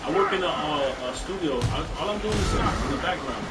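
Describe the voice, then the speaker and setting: A man's voice: on the high side, lively intonation, brisk. Rambling New man hosting a stream. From New York. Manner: loose, rambling, shout-outs. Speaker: show host. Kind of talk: casual chat while playing a game on stream. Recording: roomy, distant mic, loud music behind.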